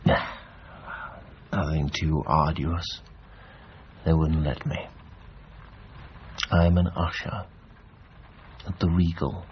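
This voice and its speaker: An actor in a radio drama playing a weary, disillusioned middle-aged former adventurer, bitter at himself, halting and brooding. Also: male voice, rumbling low, fairly even intonation. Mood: resigned. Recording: dull, slightly noisy radio recording.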